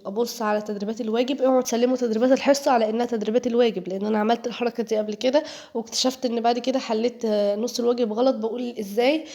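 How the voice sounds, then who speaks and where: A woman's voice: fairly high pitch, creaky, a little mellow. A teenage girl with an Egyptian Arabic accent, narrating her day in a chatty vlog voice-over while getting over a cold, talking straight to her viewers. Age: teens. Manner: chatty, jokey, addresses viewers directly. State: has a cold, voice sounds off. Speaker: vlogger, high school student.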